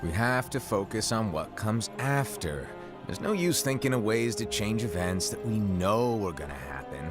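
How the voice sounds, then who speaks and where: An actor playing a breezy, teasing, cryptic master of magical apprentices in an animated game cutscene. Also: male voice, moderate pace, music in the background, natural voice. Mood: flippant. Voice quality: low-pitched.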